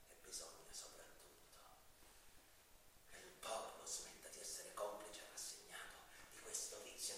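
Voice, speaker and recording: A man's voice: fairly low pitch, natural voice. A man playing a bitter, defiant vigilante prisoner in a stage monologue, confronting a judge with sarcastic, rhetorical questions. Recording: echoey noisy hall from far off.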